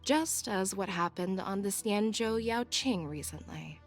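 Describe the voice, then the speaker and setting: A woman's voice: medium pitch, animated, measured pace. A confident, shrewd woman general, music behind. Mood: serious. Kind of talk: video game voice acting. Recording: game dialogue over background music.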